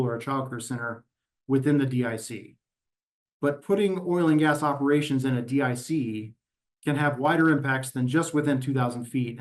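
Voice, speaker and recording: A man delivers formal, prepared testimony as an advocate at a hearing. His mid-pitched voice moves methodically from point to point in a measured, orderly manner. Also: darkish tone. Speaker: environmental advocacy representative testifying at a regulatory hearing. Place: virtual meeting.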